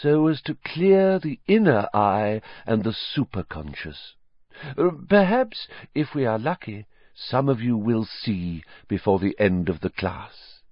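A man putting on a dreamy, hushed female seer. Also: lowish voice, sing-song, no echo. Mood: mystical. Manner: hushed, mystical, drawn-out. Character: airy, dreamy female seer, an old teacher. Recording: dry, slightly dull lo-fi.

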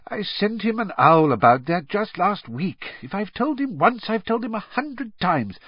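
Weary middle-aged civil servant, read by a man: mild, exasperated, lifted lively pitch, storybook character. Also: measured pace, breathy edge, no room sound, muffled recording, heavily acted.

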